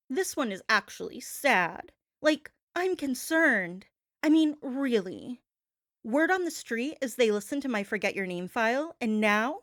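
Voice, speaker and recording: A woman's voice: medium pitch, a bit bright, dry recording, studio quality. A woman delivering a performed gossip-show monologue as a host, sassy and theatrical, leaning on words for dramatic emphasis. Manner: sassy, theatrical, drawn-out emphasis, addresses listener as babe.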